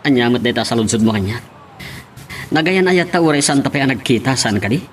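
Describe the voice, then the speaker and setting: A man's voice: medium pitch, big pitch swings, moderate pace, soft background music, natural voice. A man acting an eager, polite young man in love in an Ilocano audio drama. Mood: tender. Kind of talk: radio-style drama acting. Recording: clearly noisy background.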